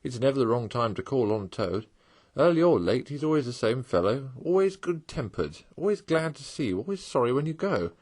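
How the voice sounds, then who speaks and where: A man voicing a cheerful, excitable male water rat in a storybook reading. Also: mid-range voice, voice pushed up. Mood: enthusiastic. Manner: brisk, chatty, indignant at times.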